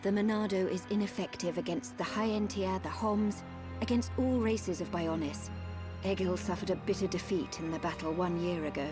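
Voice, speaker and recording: An actress playing a calm, solemn woman of an ancient machine people in a game cutscene, speaking in a measured, formal way. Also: fairly low pitch, natural voice. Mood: solemn, explaining. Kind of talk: video game cutscene acting. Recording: loud music behind the voice. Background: orchestral game music.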